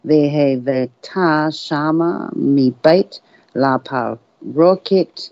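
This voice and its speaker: Deep voiced lady reading torah in short halting bits, dry muffled mic. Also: warm tone, no room sound. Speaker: Torah reader. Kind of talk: careful scripture reading in Hebrew. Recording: dull, lo-fi, dry sound.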